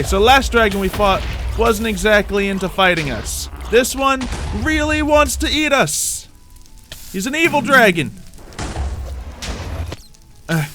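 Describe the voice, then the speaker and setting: A man commentating on his own video game play, thinking aloud in an animated way with playful exclamations. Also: high voice. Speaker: gaming YouTuber playing a Minecraft roleplay series. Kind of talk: gameplay commentary. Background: background music.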